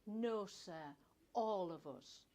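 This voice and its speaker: A woman's voice: medium pitch, drawn-out words, mellow. A woman reading a story plays a spirited young female clerk, upset and indignant, speaking with emphatic emotion. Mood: defiant.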